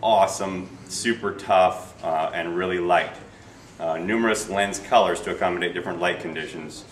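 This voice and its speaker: Deep-voiced American man, echoey shop recording. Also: steady tone, moderate pace. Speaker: fly shop presenter. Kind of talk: informal product showcase, unscripted. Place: small retail shop, indoors. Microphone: camera a few feet away. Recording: echoey shop, distant camera mic, faint noise.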